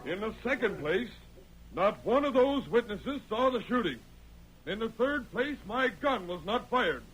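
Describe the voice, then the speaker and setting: An actor playing a wronged, defiant frontiersman on trial in an old-time radio western, indignant and forceful. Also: high voice, sing-song, a little slow, slightly rough, exaggerated voice. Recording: slightly noisy old radio recording.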